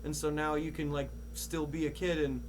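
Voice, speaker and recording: A man's voice: fairly high pitch, flat delivery, poor audio. A man hosting a casual podcast chat, swearing freely and often restarting his sentences. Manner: profane, halting with repeated starts. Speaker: podcast host. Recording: audible background noise and hum.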